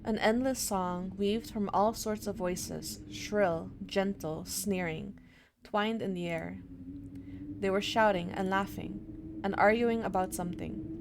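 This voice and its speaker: A woman's voice: mid-range voice, a little slow, vocal fry, noisy background. A woman doing casual let's-play commentary and reading game text aloud, sounding slightly under the weather. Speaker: streamer playing a horror visual novel.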